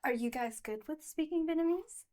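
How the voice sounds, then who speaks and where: A woman's voice: sits high, normal speed, a little mellow. A woman hosting a pageant interview, chatty, laughing often and restarting her phrases. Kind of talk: casual interview chat.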